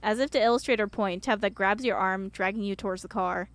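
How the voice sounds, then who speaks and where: A woman streamer reading a game aloud and reacting to it in an expressive, emotional way. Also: mid-range voice, fast, no room sound.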